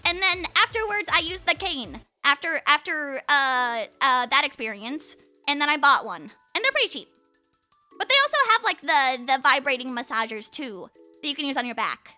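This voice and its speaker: A woman's voice: high-pitched, sing-song, normal speed, sharp tone, soft background music. A woman in her twenties chatting casually as a livestream host, with a playful, rambling manner and lots of filler "like". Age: 20s. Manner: playful, rambling, says "like" constantly. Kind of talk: casual chat.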